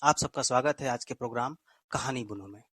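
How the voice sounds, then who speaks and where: A man's voice: medium pitch, fairly quick, a bit bright, no room sound. A man hosting a programme, delivering a poetic scripted introduction in formal, literary and flowery phrasing. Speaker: programme host.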